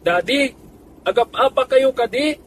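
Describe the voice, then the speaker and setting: A man's voice: very high voice, lively intonation, a little slow, a bit bright, heavily acted. A male performer playing a worried young child pleading with quarrelling parents in a radio drama. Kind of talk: radio drama acting in dialogue. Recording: faint background noise and ambience.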